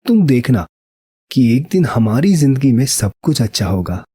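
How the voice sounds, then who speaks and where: Man acting as earnest young cartoon painter, poor devoted husband, mellow husky voice, sincere, proud. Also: mid-range voice, sing-song, moderate pace, no echo, clean studio sound. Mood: determined.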